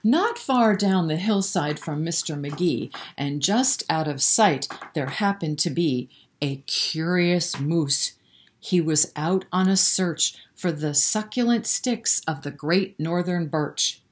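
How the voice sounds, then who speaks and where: A woman reading a picture book aloud to children in an expressive way, pausing to explain and prompt her young listeners. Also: low voice, slight background noise. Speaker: children's storyteller at a library.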